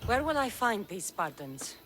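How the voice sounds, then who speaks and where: A tough mercenary in a game cutscene, mid-pitched and dryly blunt. Her questions come direct, with faint music underneath. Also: very animated, measured pace, fairly bright tone. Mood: blunt, determined. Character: tough, blunt, dry-witted female mercenary warrior. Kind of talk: dramatic video game cutscene dialogue.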